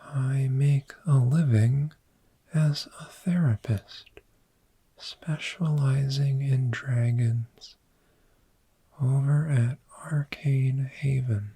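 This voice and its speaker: A performer voicing a friendly, soothing dragon in an ASMR roleplay, speaking in a gentle, breathy whisper and lingering on repeated words. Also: medium pitch, steady tone, very slow, full character voice, low-quality recording. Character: friendly soothing dragon therapist showing a cozy hoard.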